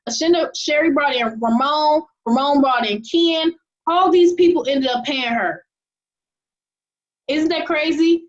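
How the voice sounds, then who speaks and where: Bright high woman pitching on a zoom call, keeps saying okay, kinda muffled lo-fi sound. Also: a little slow. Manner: upbeat, repeats "okay?" often. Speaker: host of an online money-making call. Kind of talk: enthusiastic presentation on a video call. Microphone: video call.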